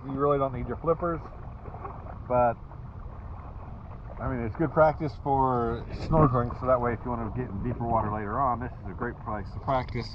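A man's voice: mid-range voice, steady tone, fairly quick, muffled recording. A man hosting a kayaking vlog, chatting casually and laughing easily while wading in shallow sea water, recorded on an action camera. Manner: easygoing, chatty, laughs often. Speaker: kayaking channel host. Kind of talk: casual outdoor vlog narration. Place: outdoors in shallow sea water by rocks and a beach. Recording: outdoor action camera with loud water noise.

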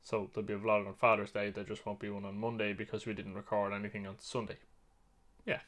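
An Irish man in his thirties giving a rambling, relaxed vlog update from a small home office at his desk camera. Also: lowish voice, fast. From Ireland. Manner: relaxed, rambling, self-correcting. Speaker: vlog co-host. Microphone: webcam at desk.

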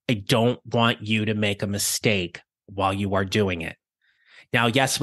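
A middle-aged man hosting a career-advice podcast, his voice raspy from illness, speaking in an upbeat, encouraging, conversational way. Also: medium pitch, a bit bright, no echo, clean studio sound. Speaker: podcast host.

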